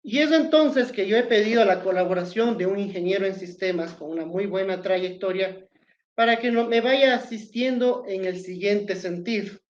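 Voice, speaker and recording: An Ecuadorian man from Quito gives a lecture on law over a video call, earnest and explanatory, with the occasional restart. His voice sits at a middle pitch and carries a noticeable room echo. The sound is a bit dull and muffled. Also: moderate pace. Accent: Ecuadorian Spanish, Quito. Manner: earnest, explanatory, with frequent hesitations. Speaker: lawyer, conference speaker. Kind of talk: virtual conference lecture. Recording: echoey, somewhat dull video call audio.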